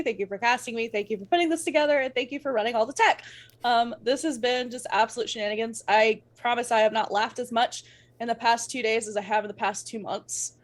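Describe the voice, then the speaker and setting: A woman guest on a livestream, chatting casually in a gushing, playful, informal way. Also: on the high side. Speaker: guest performer and streamer.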